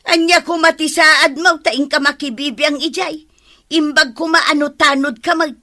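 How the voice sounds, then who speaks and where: A woman's voice: sits high, fairly even intonation, normal speed, pushed and bright. A woman playing a nagging, exasperated village wife in an Ilocano radio comedy drama. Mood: scolding.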